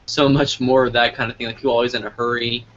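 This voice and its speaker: A man with a bright, forward voice works as an interviewer in a relaxed, conversational style. His medium-pitched speech comes through a slightly dull recording with faint noise behind it. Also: fast talker. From New Mexico, United States. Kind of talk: casual interview chat. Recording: muffled lo-fi sound with background noise.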